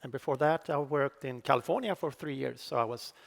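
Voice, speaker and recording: A middle-aged man with a Swedish accent giving a technical conference talk on stage, speaking haltingly with many fillers and restarts. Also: mid-range voice, creaky. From Sweden. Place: large conference hall on stage. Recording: reverberant conference hall.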